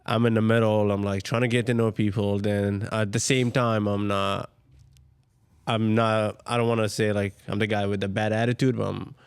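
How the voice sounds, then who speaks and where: A young man from Jordan, a restaurant co-owner, talking as a guest in a soft-spoken way and searching for words. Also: lowish voice, little pitch movement, normal speed, clear, resonant tone, dry recording, studio quality. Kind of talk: casual interview chat.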